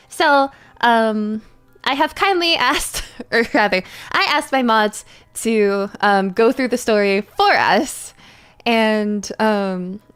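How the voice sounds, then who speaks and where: A woman's voice: mid-range voice, a bit bright, clean tone. A woman hosting a casual livestream, chatting to viewers in a playful, giggly, rambling way. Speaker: livestream host and voice actor.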